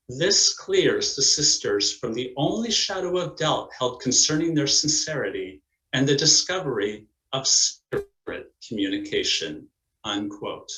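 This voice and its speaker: A man presents in a formal, scripted manner. His mid-range voice is measured, like a narrator introducing a community stage production. The sound is noticeably roomy and echoing. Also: medium pitch, fairly bright tone.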